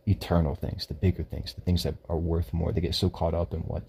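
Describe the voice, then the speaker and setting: Deep husky man chatting loosely. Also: flat delivery, fairly quick, dark tone. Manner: rambling, self-correcting, laughs often. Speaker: podcast co-host. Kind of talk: casual faith chat.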